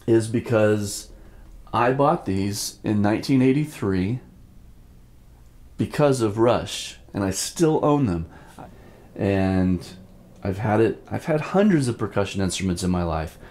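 Male drum teacher, 50s, lowish voice, informal and reflective, leans on repeated phrases for stress. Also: a little mellow. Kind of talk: informal lesson with personal reminiscence.

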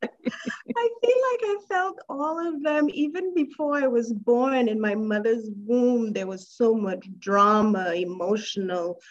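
A woman from Trinidad and Tobago, a guest telling her life story in an interview, reflective and hesitant, over muffled call audio. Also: on the high side. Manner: reflective, unhurried, with soft laughs and hesitations.

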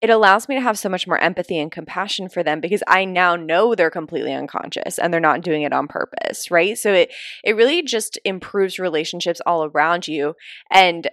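A young woman talks through her solo podcast in an earnest, chatty way, her voice a touch raspy from travel. Her tone sits a little low, and the sound is dry and close with no room around it. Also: a little fast, a bit bright, no room sound. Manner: chatty, earnest, laughs often, many fillers like "like" and "you know". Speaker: podcast host and business coach. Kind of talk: casual solo podcast monologue.

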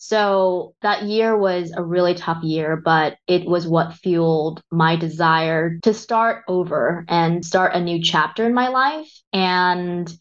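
Reflective low-voiced woman in her thirties. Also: slow pace, hardly any pauses, lo-fi sound. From Vietnam, raised in Texas. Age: 30s. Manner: candid, reflective. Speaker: guest. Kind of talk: reflective interview talk.

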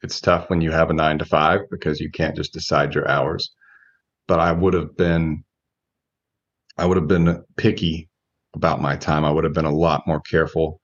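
A man in his forties with a very low voice talks through his answers as an interview guest. He is measured and reflective, feeling his way through phrases. The recording sounds dull and lo-fi. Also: flat delivery, slightly rough. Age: 40s. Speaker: guest, relationship coach.

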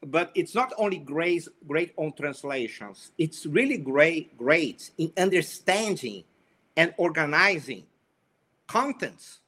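A man with a mixed Italian and Portuguese accent talks with eager enthusiasm, often stumbling and restarting his phrases. His voice sits a little high, with a bright, forceful edge. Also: lively intonation, slow. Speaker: panel guest. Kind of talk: casual discussion.

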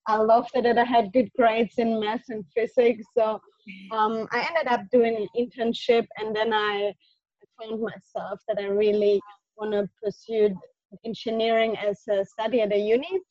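A woman's voice: a little high, level tone, normal speed, a little mellow, no echo. A young woman with a German accent, answering interview questions in a hesitant way with many restarts. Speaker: guest engineer. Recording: dull lo-fi audio.

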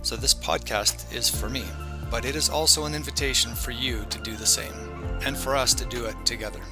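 A man reading a scripted podcast introduction in a measured, reflective way. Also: medium pitch. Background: music.

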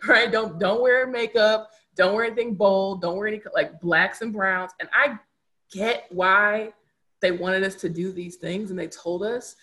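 A woman's voice: medium pitch, moderate pace, sharp tone. A young adult woman speaking candidly as a podcast guest, earnest and reflective, repeating words for emphasis. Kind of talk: candid conversational storytelling.

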